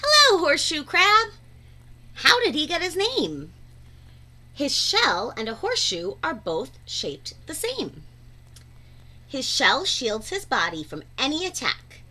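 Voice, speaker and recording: A woman reading a children's picture book aloud in an expressive, deliberate, teacher-like way. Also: high voice. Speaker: read-aloud storyteller.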